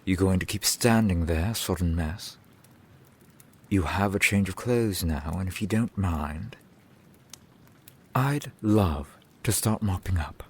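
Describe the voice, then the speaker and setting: A man playing a gruff, grumbling but reluctantly kind fantasy town blacksmith in a slow, dry ASMR roleplay. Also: deep voice, full character voice. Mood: exasperated, dry. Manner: curt, grumbling, dry, wordy sarcasm. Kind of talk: ASMR roleplay, slow scripted character acting. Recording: steady rain sound behind the voice. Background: rain falling.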